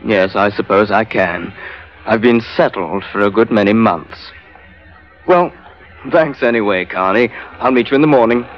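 Actor as uneasy, courteous gentleman, lowish voice. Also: normal speed, lightly acted. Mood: resigned. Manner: hesitant, courteous, formal. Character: restless, uneasy, polite colonial gentleman wanting escape. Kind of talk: 1940s radio drama acting. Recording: dull vintage radio recording with music.

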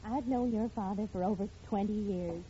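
A woman's voice: mid-range voice, normal speed, mellow, close to an everyday voice. An actress playing a middle-aged, protective wife and mother in a 1940s radio comedy, emphatic and scolding. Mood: indignant, scolding. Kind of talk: 1940s radio sitcom acting. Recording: muffled vintage radio recording, faint noise.

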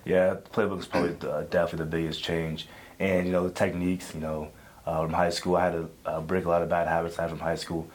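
A young freshman athlete speaks quickly in a deep, very flat voice. He answers earnestly and keeps saying "you know.". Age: young adult. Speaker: college football player. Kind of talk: casual interview answers.

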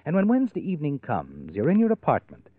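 A man's voice: fairly high pitch, huge pitch swings, fast talker, slightly rough, no echo, exaggerated voice. An actor playing an ominous, accusing male narrator, insinuating and menacing, in old-time radio suspense style. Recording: muffled vintage radio recording, narrow band. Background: dramatic instrumental music.

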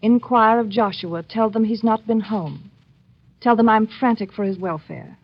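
Husky, cool colonial widow. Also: female voice, a little high, normal speed. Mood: cool, commanding. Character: cool, commanding, dreamy colonial widow and schemer. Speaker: actor. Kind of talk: 1950s radio drama acting. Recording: muffled 1950s radio recording.

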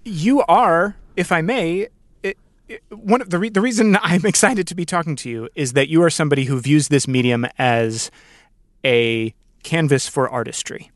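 A man's voice: fairly high pitch, moderate pace, dry recording, clean studio sound. A man hosting a podcast, speaking conversationally in an earnest, appreciative way. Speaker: podcast host.

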